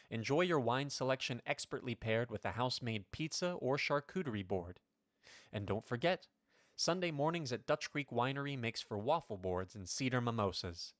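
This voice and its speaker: Give me a male radio announcer with a medium-pitched voice reading sponsor copy, polished and promotional, recorded very dry. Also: fairly bright tone, no echo.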